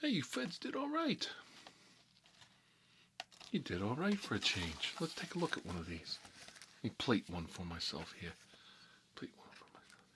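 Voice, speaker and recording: A man's voice: medium pitch, huge pitch swings, fairly bright tone, a little hiss or hum. A man playing a boastful Italian-American mob boss in an improvised ASMR role-play, rambling and muttering between bites of food. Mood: chatty, explaining. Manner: rambling, halting, muttering, talking with food in his mouth. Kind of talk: improvised ASMR character role-play, eating while talking.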